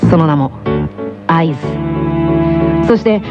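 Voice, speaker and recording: A woman voicing a gentle, softly confident teenage boy tennis player in a scripted radio announcement. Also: low-pitched, animated, a little slow, muffled recording. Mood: soft, composed. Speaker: announcer promoting an album in character. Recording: band-limited radio show over loud music.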